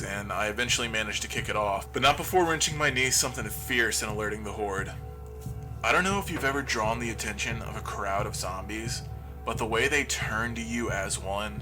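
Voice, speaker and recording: A man's voice: lowish voice, moderate pace, over music, natural voice. A man playing an injured, lonely survivor of a zombie apocalypse, confiding wryly and with swearing over a makeshift radio broadcast in an audio drama monologue. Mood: tense recounting.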